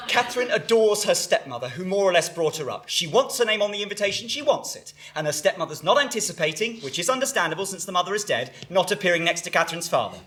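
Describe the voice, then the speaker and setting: A man's voice: high-pitched, animated, fast talker, hardly any pauses, fairly bright tone. A man performing a theatrical stage monologue as a frantic, exasperated bridegroom, with an emphatic way of talking. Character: frantic, exasperated bridegroom venting about family feud.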